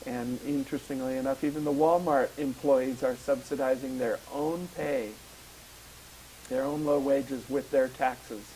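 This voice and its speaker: A man co-hosting an activist talk show, hoarse from a cold, speaking casually with many pauses and fillers and reading facts aloud. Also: mid-range voice, fairly even intonation, dark tone, slight background noise, poor audio. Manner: hesitant, many ums, reads facts from a card. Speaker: public access show co-host.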